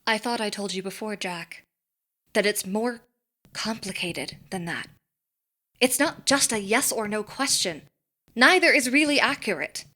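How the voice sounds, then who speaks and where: An actress playing a composed, polite, evasive woman boss in an audio drama, speaking formally and with measured phrasing. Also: medium pitch, pushed and bright. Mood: guarded.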